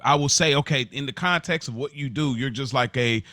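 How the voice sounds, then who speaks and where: Earnest mid-pitched man debating, dry sound. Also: sharp tone, no room sound. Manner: halting, earnest, restarts and repeats his point. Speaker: podcast co-host. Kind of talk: casual podcast debate.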